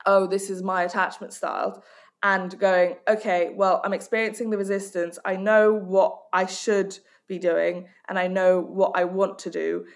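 A woman's voice: mid-range voice, flat delivery, normal speed. A woman from Hong Kong, working as a life coach and author, giving direct and emphatic answers in a podcast interview.

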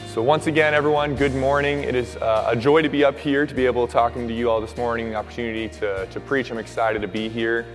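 A young man with a hoarse, raspy voice preaching and praying in casual, earnest words with many fillers. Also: medium pitch, fairly even intonation, fast, hardly any pauses, faint music behind. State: hoarse from shouting at camp. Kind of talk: sermon with prayer. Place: church hall. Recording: long reverb of a church hall.

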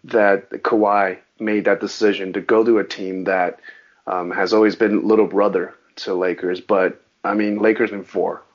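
Low guy chatting on a podcast, mandarin was his first language, kinda rambly, muffled lo-fi sound. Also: normal speed. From Southern California. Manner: rambling, frequent restarts, animated on sports. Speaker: guest, actor and musician. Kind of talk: casual interview chat. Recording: dull lo-fi podcast audio.

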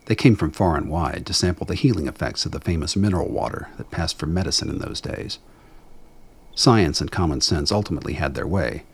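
A man with a gravelly voice reading scripted dramatic narration in a measured, brooding storytelling manner. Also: very deep voice, animated.

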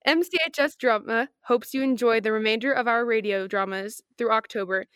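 A woman's voice: a little high, brisk, fairly bright tone, smooth, no echo, studio quality. A young woman reading scripted announcements as a radio drama announcer. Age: young adult.

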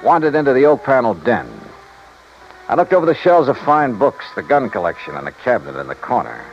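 A man's voice: medium pitch, sing-song, a little fast, slight background noise. A man playing a skeptical private investigator in an old radio detective drama, with a wry, clipped way of questioning and narrating. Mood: wry, brooding. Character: hard-boiled, skeptical male private investigator, dryly probing. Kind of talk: 1950s-60s radio detective drama, first-person narration. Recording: dull old radio recording, music behind.

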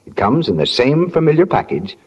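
A man's voice: on the high side, sing-song, fairly quick, breathy edge. A man announcing advertisements in 1940s radio style with a polished sales delivery. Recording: muffled 1940s radio recording.

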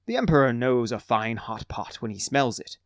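A man's voice: medium pitch, very animated, normal speed, no echo, close to an everyday voice. A proud, fussy halfling chief cook, folksy and boastful, as a man puts him on. He brags about his stew and bursts into theatrical wails. The sound is dry and close. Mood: proud, knowing.

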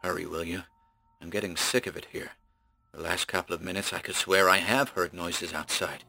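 A man's voice: fairly even intonation, normal speed, gravelly, pushed and bright, full character voice. A man doing a panicked trapped radio announcer. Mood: edgy. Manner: frantic, pleading, rising to shrill panic. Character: terrified male radio announcer chained in a house. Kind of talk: audiobook character voice.

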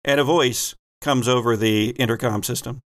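A man's voice: mid-range voice, fairly even intonation, moderate pace, a bit bright, dry recording, clean studio sound. A man casually narrating a tabletop roleplaying game as its game master.

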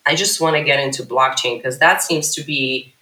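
A woman's voice: extremely low pitch, normal speed, sharp tone. A woman in her thirties, a startup CEO guest on a podcast, telling her story in long rambling sentences full of fillers and restarts. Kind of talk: casual podcast interview. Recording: echoey room.

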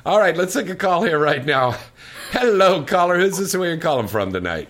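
A woman's voice: on the low side, very animated, moderate pace. A woman hosting a casual radio call-in chat, playful and fond of telling stories. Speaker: radio host.

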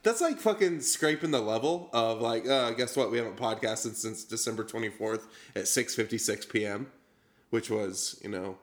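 A man's voice: mid-range voice, normal speed. A sleepy young man co-hosting a podcast, riffing and joking with a lot of swearing. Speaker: podcast co-host. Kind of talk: casual riffing chat.

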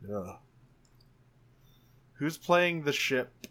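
A man's voice: fairly high pitch, expressive, drawn-out words, pushed and bright. A man leading a tabletop roleplaying game in casual talk, thinking aloud as he goes. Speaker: player and game leader in an actual play podcast.

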